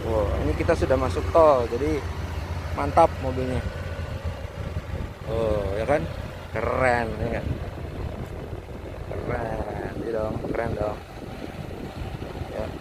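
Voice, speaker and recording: A man chatting enthusiastically in a casual car review sales pitch, full of exclamations. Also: steady tone, slow pace, long pauses. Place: inside a moving car, back seat. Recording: very loud car and road noise.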